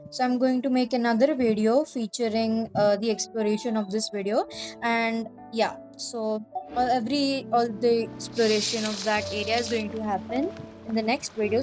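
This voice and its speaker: A woman hosting a gaming video, talking informally and directly to viewers in casual commentary. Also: a little high. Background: faint game music.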